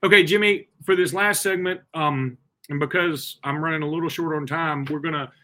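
Guy hosting a sports talk show, bright voice, kinda high, chatty and opinionated. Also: normal speed, a little hiss or hum.

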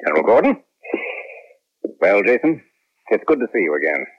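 A stern American military colonel in a seventies radio play. He is proud and commanding, with a husky, swooping delivery. The broadcast sound is muffled. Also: on the low side, very animated, normal speed. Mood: assured. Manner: commanding, authoritative. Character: stern, proud colonel who trains clones for space. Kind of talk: 1970s radio drama acting.

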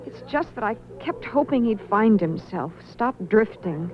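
A sad earnest widow in an old radio play, highish, hesitant, bit lo-fi. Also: female voice, expressive, a little slow. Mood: wistful, earnest. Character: earnest, wistful widow of a murdered man. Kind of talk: 1970s radio drama acting. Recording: slightly muffled old radio recording.

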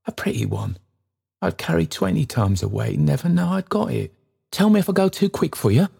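A man reading aloud puts on a kind, fussy old porter who chatters in a raised, breathless voice, swooping all over the place. He hurries and repeats himself, eager to please. Underneath there is a gravelly edge. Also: on the high side, big pitch swings, fairly quick, mellow, pitched above the performer's own voice, exaggerated voice. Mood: bustling, eager. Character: excitable old male porter, bustling and kind.

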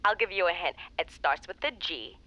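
Brash, loving American woman for podcast drama: bright, animated, bubbly and teasing, pushy streak. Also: fairly low pitch, normal speed, low-quality recording. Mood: gushing, teasing. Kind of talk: naturalistic audio drama acting.

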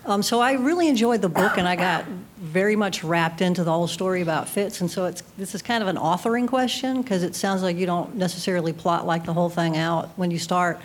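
A woman's voice: fairly low pitch, fairly quick, few pauses. A woman in the audience at a live event, asking a casual, conversational question. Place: live book fair event. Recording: faint ambient event noise.

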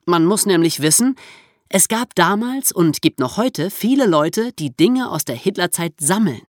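A man's voice: high voice, animated, unhurried, no echo, studio quality. A man co-hosting a podcast, explaining history in a conversational storytelling manner. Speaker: podcast host.

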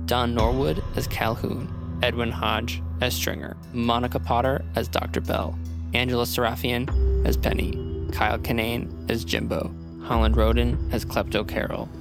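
A man's voice: medium pitch, creaky. A man reading scripted credits as an announcer. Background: music.

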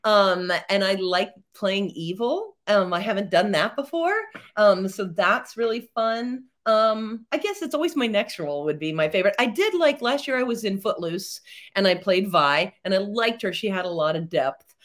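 A middle-aged woman, a theatre performer, chatting as a podcast guest in a rambling, animated way with many fillers and laughs. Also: mid-range voice, normal speed. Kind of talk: casual interview chat.

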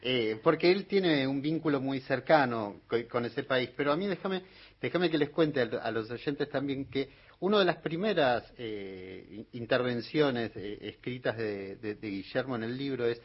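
A man with an Argentine accent hosting a radio interview, speaking haltingly with hesitations. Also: medium pitch, expressive, husky, muffled recording. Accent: Argentine Spanish. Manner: halting, hesitant reading aloud. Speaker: radio host.

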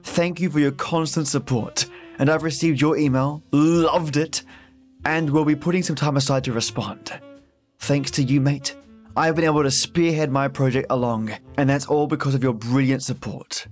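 A man's voice: fairly high pitch, steady tone, moderate pace, faint music behind. A man hosting a podcast, narrating an outro in a dramatic, effusive way. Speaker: podcast host. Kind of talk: scripted narration, show outro.

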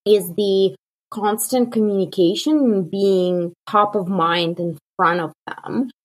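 A woman's voice: medium pitch, takes it slowly. A woman from Kazakhstan with a Kazakh accent, a native Russian speaker, talking casually as a marketing guest on an interview, with plenty of "kinda" and "like". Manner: relaxed, many fillers like "kinda" and "like".

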